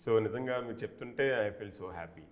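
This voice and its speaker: A man's voice: fairly low pitch, normal speed. A man, an actor, chatting, explaining things and slipping into English phrases. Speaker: actor being interviewed. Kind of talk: casual interview chat.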